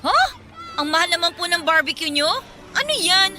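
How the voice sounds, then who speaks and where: A cheeky young Filipina in a radio drama. Her voice is high and piercingly bright, and she talks back in a pert, sarcastic way. Soft music runs behind her. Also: big pitch swings, normal speed, exaggerated voice. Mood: cheeky banter. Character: sassy, food-loving young woman, cheeky and grudge-holding. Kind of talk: Tagalog radio drama acting.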